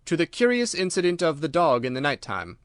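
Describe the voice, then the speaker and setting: A man acting out a Victorian gentleman detective from a storybook, brisk and sure of himself. He speaks with formal courtesy and a secretive playfulness, in a fairly high male voice, closely recorded with no room sound. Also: big pitch swings, no room sound, studio quality. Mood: pleased, cryptic. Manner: courteous, assured, formally worded.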